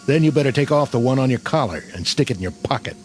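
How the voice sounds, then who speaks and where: An actor playing a battle-hardened, skeptical army sergeant in a radio drama, plain-spoken, folksy and wry. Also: male voice, medium pitch, animated, quick pace, a little hiss or hum, lightly acted. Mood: blunt, dry.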